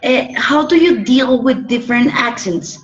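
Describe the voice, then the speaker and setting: A woman student with a high voice speaks very slowly over a video call. She hesitates and restarts as she searches for her words. The audio is muffled. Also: animated. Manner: hesitant, halting. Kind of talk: asking a question in class.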